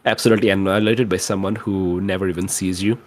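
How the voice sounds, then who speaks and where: Male player, slightly low voice, casual chat with a deliberate, dryly humorous delivery. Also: steady tone. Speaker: podcast player.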